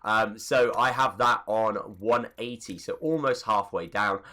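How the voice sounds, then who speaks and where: A man's voice: lowish voice, measured pace, sharp tone. A man with a stuffy, congested voice from a cold, giving a chatty game settings tutorial with rambling asides. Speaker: gaming YouTuber. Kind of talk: casual settings tutorial voice-over.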